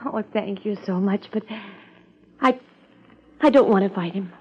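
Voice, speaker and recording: An actress playing a frightened, evasive young heiress in a 1950s radio drama, hesitant and pleading. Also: female voice, mid-range voice, unhurried, breathy edge. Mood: anguished. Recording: dull 1950s radio recording.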